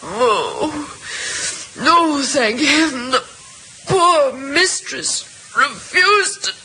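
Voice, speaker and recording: High, plaintive, suffering woman. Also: very animated, very slow, pushed and bright, heavily acted, rough recording. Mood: pained, urgent. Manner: moaning, pleading. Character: distressed, ailing, plaintive woman. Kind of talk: old-time radio drama acting. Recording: hissy vintage radio recording.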